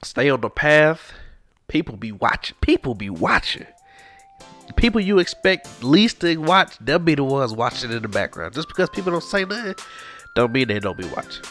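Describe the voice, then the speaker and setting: A man's voice: medium pitch, very animated, moderate pace, fairly bright tone, soft background music. A man, hosting a casual podcast with a stuffed-up, congested nose, earnest and encouraging and sliding into preacher-like exhortation. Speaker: podcast host.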